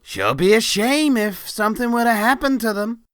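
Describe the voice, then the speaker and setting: A woman's voice: fairly high pitch, big pitch swings, normal speed, dry recording, studio quality. A woman playing a menacing henchwoman who serves a boss, in a comic dub. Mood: veiled threat.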